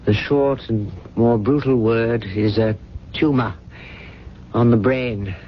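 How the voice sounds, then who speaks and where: Man acting devoted middle-aged butler, earnest, formal, halting, dying, 1970s radio drama, dull hissy broadcast. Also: medium pitch, fairly even intonation, close to an everyday voice. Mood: grave, resigned.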